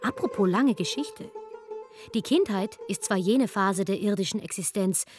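A woman's voice: mid-range voice, expressive, normal speed, over music, lightly acted. An actress playing a chatty, wry female storyteller who narrates ancient history in a colloquial, digressive way for a comic radio play. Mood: wry, explanatory.

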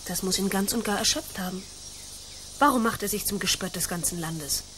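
A woman's voice: mid-range voice, a little fast, a bit bright, slight background noise, close to an everyday voice. Woman playing a proud, spirited young noblewoman. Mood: scornful, wistful. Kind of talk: radio play acting.

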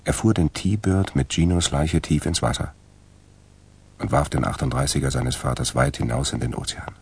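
A man narrating a radio crime drama from a script in a gravelly voice. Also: very deep voice, expressive, moderate pace. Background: music.

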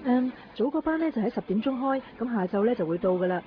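Actress as a chatty airline clerk, dull sound. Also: female voice, fairly high pitch, animated, fast talker, natural voice. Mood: businesslike, chatty. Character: brisk, chatty female airline ticket clerk. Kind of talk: Cantonese radio drama acting. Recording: dull radio recording, faint noise.

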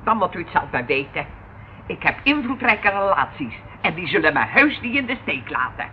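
A woman's voice: on the low side, sing-song, normal speed, a little hiss or hum. An actress playing a proud, shady woman under arrest, dramatic and emphatic, in a Dutch radio play on a dull old recording. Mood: haughty, threatening.

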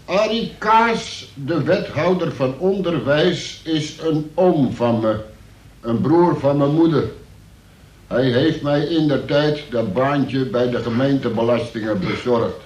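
A man's voice: mid-range voice, takes it slowly, a little mellow, lightly acted. Earnest male police sergeant, played by an actor, radio drama: formal, plodding read-aloud delivery, roomy acoustic. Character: earnest police sergeant stiffly reading out a suspect's statement. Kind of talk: reading a statement aloud. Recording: echoey room.

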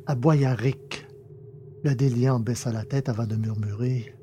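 A man narrating an audio drama in a measured storytelling way. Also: mid-range voice, steady tone, warm tone, slight background noise. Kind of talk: scripted narration.

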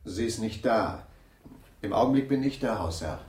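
An actor playing a gloomy, suspicious husband in a radio crime drama, brooding and halting when questioned. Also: male voice, animated, darkish tone. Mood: guarded. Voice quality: gravelly, husky low voice. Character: gloomy, suspicious dining-car waiter, husband of an injured woman.

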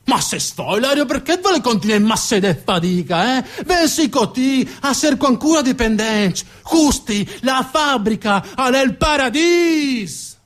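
A man's voice: very high voice, animated, measured pace, a bit bright, heavily acted. A man playing a chatty, boastful working-class villager with a northern Italian accent, speaking exclamatory dialect in a stage monologue. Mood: enthusiastic, teasing. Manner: dialect-laced, exclamatory, drawling emphasis.

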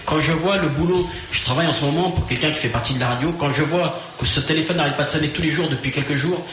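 A man's voice: a little high, fast talker, fairly bright tone. A man, a musician and guest on a radio show, talking earnestly with repeated restarts. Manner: earnest, repetitive, stammering restarts. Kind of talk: casual radio chat. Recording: very echoey room with faint noise.

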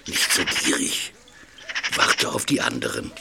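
A man's voice: a little slow, background noise, close to an everyday voice. A man playing an eccentric animal lover in a German audio drama, tender with creatures and stubborn with people. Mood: tender, excited.

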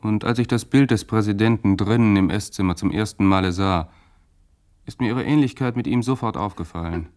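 An actor playing an earnest, probing gentleman, speaking formally and measuredly in a radio play. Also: fairly low pitch, brisk, natural voice. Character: probing, earnest gentleman listening to a maid's confession.

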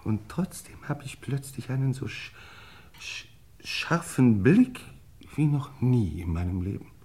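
An actor playing a woozy, melodramatic English lord in a German radio crime play, faltering and theatrical. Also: male voice, medium pitch, sing-song, a little slow, dark tone, slightly rough. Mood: feverishly excited.

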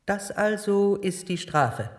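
An actress playing a stubborn, grave elderly woman with a deliberate manner in a radio drama. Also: medium pitch, expressive, a little mellow, no room sound, studio quality. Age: older.

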